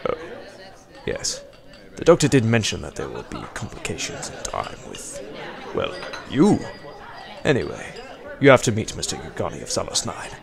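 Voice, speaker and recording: A man voicing a genial, wealthy mansion host and factory owner who brushes off trouble with a smooth, hospitable manner. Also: lowish voice, wildly swooping pitch, moderate pace, pauses often, some chatter behind, full character voice. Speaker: party host.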